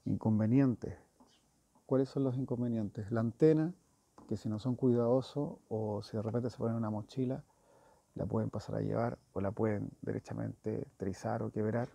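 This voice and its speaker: A man's voice: fairly low pitch, creaky, warm tone. A man giving a casual hands-on review as a motorcycle gear reviewer, informal and thinking aloud.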